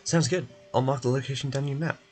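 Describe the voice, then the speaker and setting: A man's voice: mid-range voice, steady tone, fast, darkish tone, lightly acted. A man voicing a fussy, wordy, coaxing male bureaucrat in a video game. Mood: brisk, businesslike. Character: fussy male bureaucratic clerk, pleased and pushy, nursing hurt leg. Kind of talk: video game character voice-over. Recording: music behind.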